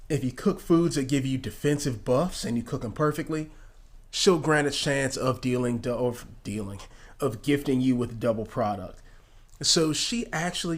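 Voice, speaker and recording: A man giving casual game commentary, informal and thinking aloud as he goes. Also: mid-range voice. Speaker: gaming video creator.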